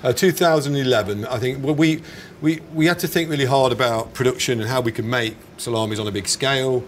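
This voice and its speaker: A man's voice: mid-range voice, brisk. Chatty Englishman over noisy ambience. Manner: hesitant, chatty, self-correcting. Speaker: snack company founder being interviewed. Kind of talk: casual interview conversation. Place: indoors in a bar. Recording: clear background ambience noise.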